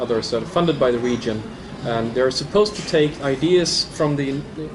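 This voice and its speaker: A man's voice: medium pitch, very noisy. A man with a Swedish accent, speaking hesitantly with many restarts in a live panel discussion. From southern Sweden. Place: large public hall.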